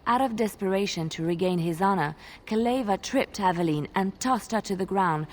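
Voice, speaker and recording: An actress playing a gentle young woman bard in a video game, telling tales in a measured, expressive way. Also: medium pitch, a little hiss or hum, natural voice. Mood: earnest storytelling. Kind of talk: video game dialogue, fairy-tale storytelling.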